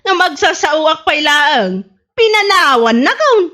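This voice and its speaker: A woman's voice: high-pitched, very animated, slow, bright, forceful tone, full character voice. A woman with an Ilocano Filipino accent playing an amused, gossipy village wife in a comedy radio drama.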